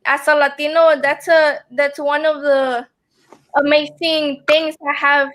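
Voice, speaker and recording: A woman's voice: high voice, level tone, a little slow, fairly bright tone, rough recording. A young woman whose first language is Spanish, a student guest on a video call, speaking earnestly with many ums. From family from El Salvador. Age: young adult. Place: room at home.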